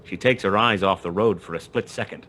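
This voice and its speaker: Noir radio play lead: terse, sardonic gumshoe narrator with a low voice and streetwise slang. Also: male voice, brisk, sharp tone, a little hiss or hum. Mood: wry, probing. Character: wry male hard-boiled private detective, narrating his own case. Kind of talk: 1940s noir radio play acting, first-person narration.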